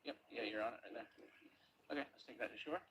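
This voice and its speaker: A man driving the boat for a search team, giving quick, terse directions. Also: mid-range voice, noisy background.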